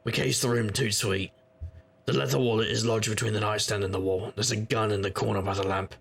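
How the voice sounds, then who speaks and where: An actor playing a sardonic, world-weary private investigator, narrating and talking in a dry deadpan noir style. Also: male voice, fairly low pitch, brisk, vocal fry. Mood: wry, tense. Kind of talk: noir audio drama, first-person narration and dialogue.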